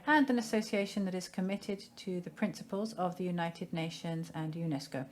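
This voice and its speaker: A woman giving a simultaneous interpretation of a seminar in a measured, formal way, pausing now and then to find her phrasing. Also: lowish voice, steady tone. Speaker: conference interpreter.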